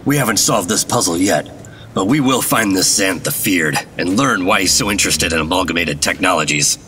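A man's voice: low-pitched, fairly quick, natural voice. A man playing a determined, wry 1950s government agent in an old-time radio drama, with clipped, hardboiled storytelling. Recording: dramatic music behind the voice.

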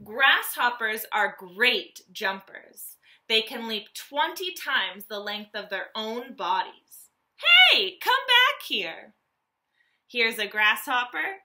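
A woman, reading a nonfiction book aloud to young children as a teacher, animated and carefully enunciated. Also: fairly high pitch, slow pace. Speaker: elementary school teacher.

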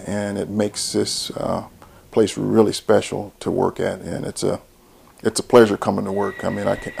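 A man's voice: fairly low pitch, gravelly, a little mellow. A middle-aged American man, an air traffic controller, talking in an interview on a lapel mic in an office. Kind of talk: interview talk. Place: small indoor office. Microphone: clip-on lapel mic.

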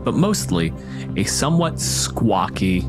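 Male game master, fairly deep, dramatic descriptive narration, music playing underneath. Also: sing-song, measured pace. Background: background music.

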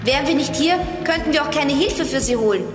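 A plucky young detective , played by a woman, with a high voice, earnest and concerned as they question and reassure. The scene is an audio drama, with music and a long, echoing hall sound. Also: fast, close to an everyday voice. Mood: plucky, defiant. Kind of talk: German children's audio drama. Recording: echoey reverberant hall, music underneath.

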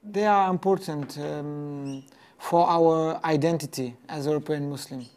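A man from Belgium with a Moroccan accent, speaking in a measured, hesitant way in a testimonial. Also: fairly high pitch, a little mellow. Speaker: tour participant. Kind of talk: testimonial interview.

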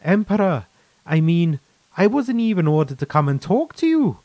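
A man's voice: on the high side, big pitch swings, moderate pace, dark tone, dry recording, pitched above the performer's own voice. A man voicing a chatty, frank, easygoing veteran soldier in a sci-fi story, with colloquial, mildly profane, persuasive talk. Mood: friendly, reassuring.

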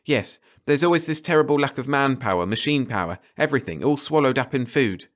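A man acts out an absent-minded, enthusiastic male ecologist from an audiobook. He talks in brisk, telegraphic bursts, with the pitch lifted. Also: animated, close to an everyday voice. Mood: brisk, businesslike. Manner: clipped, telegraphic phrases. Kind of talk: audiobook character dialogue.

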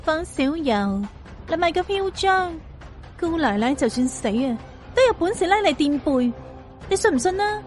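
A woman's voice: very high voice, expressive, moderate pace, faint music behind. A woman voicing a bold, defiant young martial fighter in an audio story, feisty and challenging, with a Cantonese accent.

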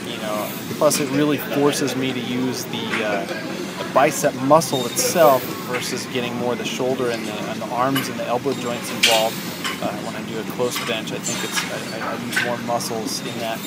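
A man in his thirties talking casually about his gym workout, with plenty of ums and uhs. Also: medium pitch. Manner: relaxed, many ums and uhs. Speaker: fitness vlogger.

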